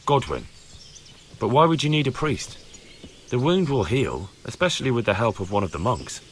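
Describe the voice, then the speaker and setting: A man's voice: medium pitch, big pitch swings, moderate pace, a little hiss or hum, lightly acted. A man playing an earnest young squire in a medieval video game. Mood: earnest, businesslike. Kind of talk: video game dialogue acting.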